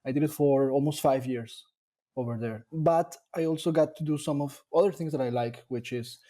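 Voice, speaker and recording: A man from Colombia, a dairy nutrition scientist talking about his career in a casual interview, pausing often to rethink his words. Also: on the high side, dark tone. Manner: thoughtful, with frequent fillers and restarts.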